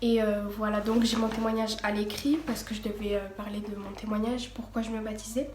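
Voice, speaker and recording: A woman's voice: medium pitch, little pitch movement, a little fast, a little mellow. A teenage girl giving a casual personal testimony, partly read from notes, hesitant with many fillers and restarts. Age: teens. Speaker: vlogger. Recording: echoey room, faint background noise.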